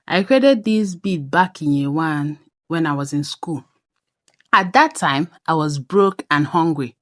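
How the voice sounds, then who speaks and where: Unhurried Nigerian woman telling a story. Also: low voice, expressive, no room sound, clean studio sound. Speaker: craft storyteller and vlogger. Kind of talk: personal storytelling voice-over.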